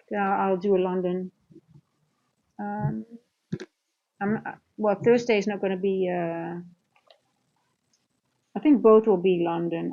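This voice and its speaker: Dutch woman chatting casually on a livestream, talks right to the chat, kinda muffled lo-fi sound, normal mid pitch. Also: a little mellow. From Netherlands. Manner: informal, conversational, addressing chat directly. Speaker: livestream host, trading coach. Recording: dull lo-fi livestream audio.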